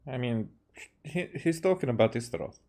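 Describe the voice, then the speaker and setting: A man's voice: medium pitch, animated, moderate pace, dark tone, slight background noise. A man from Hungary with a Hungarian accent, giving casual live commentary as a game streamer.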